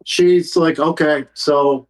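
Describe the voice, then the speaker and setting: A man narrating a tabletop game as game master, improvising in a conversational, halting way and speaking for characters in plain speech. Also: on the high side, steady tone.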